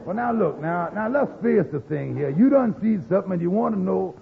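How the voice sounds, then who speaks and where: A man's voice: sits high, expressive, fairly quick, gravelly, background noise. An actor playing an earnest, fatherly, plain-spoken friend in a golden-age radio sitcom, moralizing in folksy nonstandard grammar. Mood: earnest, firm. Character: earnest, honest, fatherly working man, patient friend. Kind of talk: 1940s-50s radio sitcom acting. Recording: muffled old radio recording.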